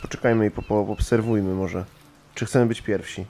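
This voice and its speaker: A man's voice: on the low side, fairly even intonation, a little fast, dark tone, slight background noise. A man playing a tabletop role-playing game, talking casually as his character.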